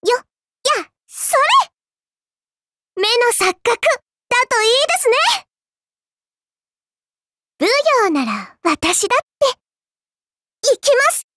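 A woman voicing a childlike, polite but quietly spiteful girl in a game, with a cutely teasing manner. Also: very high-pitched, very animated, slow, long pauses, no room sound, clean studio sound, full character voice. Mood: playful. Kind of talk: Japanese game character voice lines.

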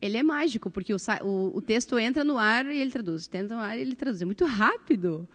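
A woman's voice: on the high side, animated, fast, a bit bright. A middle-aged woman with a southern Brazilian accent talks informally on a panel into a handheld microphone, often checking in with short tag questions. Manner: conversational, frequent tag questions. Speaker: volunteer translator, panelist. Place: conference panel stage, indoors.

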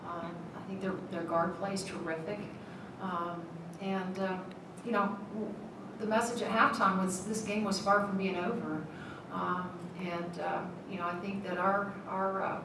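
A middle-aged woman coach at a postgame press conference, speaking in a measured way with many ums and restarts. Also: mid-range voice, level tone. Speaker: head basketball coach. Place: press room, seated at a table. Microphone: table mic, at a distance. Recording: echoey room, mic at a distance, noisy.

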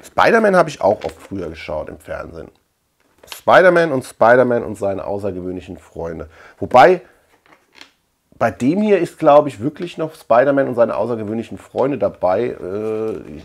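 A middle-aged man from Germany chats casually to camera, thinking out loud in a colloquial, rambling way. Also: fairly low pitch, big pitch swings, moderate pace. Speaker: collector and video channel host. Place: small indoor room lined with shelves.

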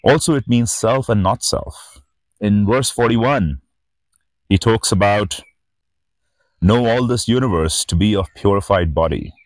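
A middle-aged man reading and commenting on scripture as a spiritual teacher, in a measured, reverent manner. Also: lowish voice, dry recording, poor audio. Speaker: spiritual teacher and channel host. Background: background music.

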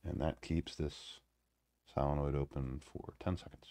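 A man giving a casual, unscripted electronics demo in a halting, self-deprecating way with wry humor. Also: extremely low pitch, steady tone, mellow, a little hiss or hum. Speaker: electronics hobbyist presenter. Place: indoors at a home workbench.

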